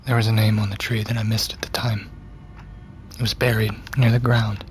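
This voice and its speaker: A man's voice: fairly low pitch, little pitch movement, moderate pace, a little hiss or hum, lightly acted. A young man with an American accent playing a troubled, obsessive, sardonic character in a naturalistic audio drama. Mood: subdued, rueful.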